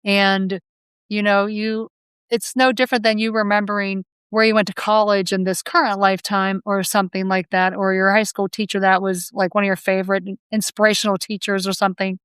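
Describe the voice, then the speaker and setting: A woman's voice: mid-range voice, level tone, fairly quick, fairly bright tone, smooth, no room sound. A woman, a past life hypnotherapist, answering interview questions in a rambling, conversational way with frequent restarts and self-corrections.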